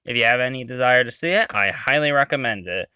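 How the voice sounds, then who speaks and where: Bright, crisp-voiced man reviewing. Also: mid-range voice, fast, no echo. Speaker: video reviewer, host. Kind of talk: informal review commentary voice-over.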